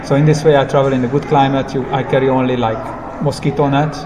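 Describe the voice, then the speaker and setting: Man, non-native English accent, medium pitch, reflective, halting simple phrasing, casual interview storytelling, audible background ambience. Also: flat delivery. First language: not English. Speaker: interview guest, long-term hitchhiking traveller. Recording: clear background ambient noise.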